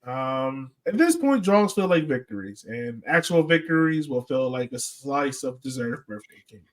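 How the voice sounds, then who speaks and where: A man's voice: mid-range voice, very animated, normal speed. A man hosting a sports podcast in casual, joking chat.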